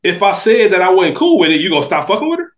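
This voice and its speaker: A man's voice: sits high, very animated, fast talker, a bit bright. An American man co-hosting a casual TV recap podcast, talking in slang and repeating phrases for emphasis. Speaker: podcast co-host.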